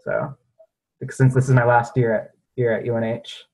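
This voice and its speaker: A laid-back university student in his early twenties chats casually, laughing often and filling his talk with "like". He sounds relaxed and friendly. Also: medium pitch, brisk, gravelly, rough recording. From New Hampshire, US. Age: early 20s. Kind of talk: casual video call chat.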